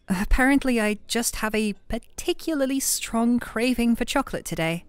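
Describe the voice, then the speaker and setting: A woman's voice: on the high side, no room sound. A woman playing a playful, affectionate young best friend in an ASMR roleplay, chatting casually and teasing her listener. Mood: warm, enthusiastic. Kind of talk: ASMR roleplay audio, conversational acting. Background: soft background music.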